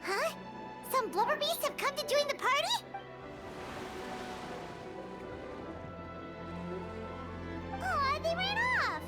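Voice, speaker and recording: A woman voicing a tiny, excitable childlike fairy companion in a video game, with a squeaky, cutesy, exclamatory delivery. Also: moderate pace, music in the background. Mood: curious. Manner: cutesy, exclamatory, speaks of self in third person.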